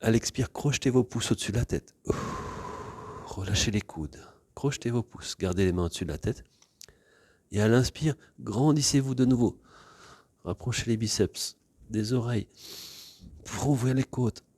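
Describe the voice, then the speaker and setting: A man leading a yoga class, giving calm, unhurried guided instructions for body awareness and breathing. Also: mid-range voice, breathy edge, no echo, clean studio sound.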